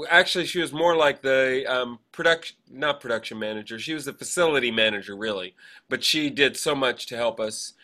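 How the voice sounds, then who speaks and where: A man co-hosting a podcast, chatting casually and rambling through shout-outs with hesitant, digressive asides. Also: mid-range voice. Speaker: podcast co-host.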